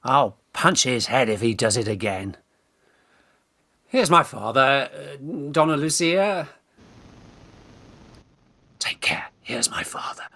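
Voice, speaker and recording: An actor playing a scheming young Victorian gentleman in a radio farce, urgent, hesitant and bossy. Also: medium pitch, animated, slow pace, long pauses, exaggerated voice. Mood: anxious.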